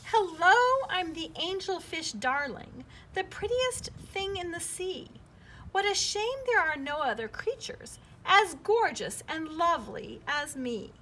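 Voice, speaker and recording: A woman's voice: very high voice, very animated, slow pace, sharp tone, a little hiss or hum, heavily acted, low-quality recording. A woman voicing a vain, gushing female angelfish in a storybook, preening and self-admiring. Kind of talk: storybook character voice.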